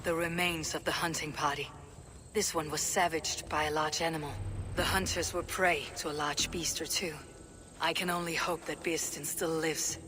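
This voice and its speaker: A woman playing a stoic, weary Viking warrior leader in a video game, speaking in a terse, grave, measured way. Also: sits low, steady tone, natural voice. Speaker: lead game character. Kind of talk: video game cutscene acting. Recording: background ambience noise.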